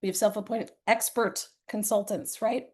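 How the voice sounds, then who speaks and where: A woman delivering a conference presentation while hoarse from a cold. She clears her throat often and keeps an earnest, conversational tone with many fillers. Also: mid-range voice, fairly even intonation, normal speed, darkish tone. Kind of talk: live-streamed conference talk.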